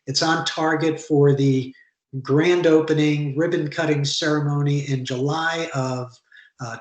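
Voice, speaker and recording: Man with a roomy echo on a call. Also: a little high. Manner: earnest, halting with fillers and restarts. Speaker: charity founder, alumni panelist. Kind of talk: panel talk on a video call.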